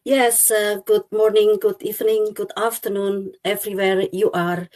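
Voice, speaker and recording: A woman's voice: mid-range voice, level tone, normal speed, a little mellow. Hesitant woman from Indonesia. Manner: hesitant, many uhs. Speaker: IT consultant, guest. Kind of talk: casual self-introduction.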